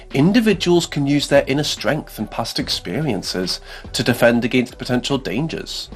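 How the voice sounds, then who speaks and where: A man delivers scripted explainer narration in a medium-pitched voice. His reading is measured and formal, like a prepared essay. Music plays behind him. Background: background music under voice-over.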